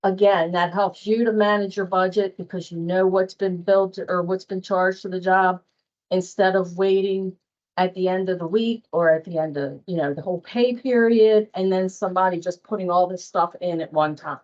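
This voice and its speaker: A woman's voice: lowish voice, steady tone, a little mellow. Woman trainer on muffled meeting audio. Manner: plain-spoken, halting, conversational. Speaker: staff trainer on invoicing. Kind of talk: informal training walkthrough. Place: online meeting with screen share.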